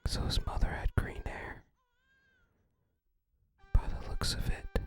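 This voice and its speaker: Slow, breathy ASMR whisper. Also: faint music behind. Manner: soft, unhurried, musing aloud. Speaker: ASMR video creator. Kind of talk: whispered ASMR, casual unboxing and game commentary.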